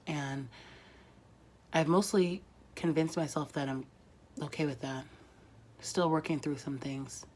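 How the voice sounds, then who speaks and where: Woman, thirties, very low and monotone, congested and whispery, hesitant reflective vlog talk. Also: a little hiss or hum. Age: 30s. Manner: halting, reflective, many pauses and fillers. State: congested, losing her voice. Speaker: vlogger. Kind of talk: casual confessional vlog.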